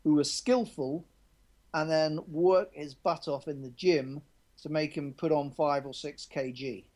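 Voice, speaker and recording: A man's voice: a little high, steady tone, unhurried, darkish tone. A man being interviewed as a rugby journalist, talking candidly and often restarting his sentences. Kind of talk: conversational interview answers.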